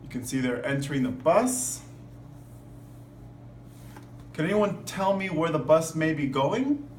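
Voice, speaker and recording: A man's voice: a little high, animated, normal speed, roomy sound. Male storybook reader, instructive, asks the listeners questions; distant mic with background noise. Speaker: teacher reading a picture book aloud. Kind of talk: read-aloud storytelling for children with asides and questions.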